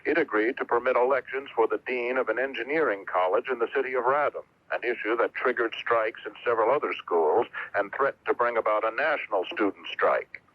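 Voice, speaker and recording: A man's voice: medium pitch, a little fast, few pauses. A man giving a scripted news report as a foreign correspondent. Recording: dull lo-fi vintage radio broadcast.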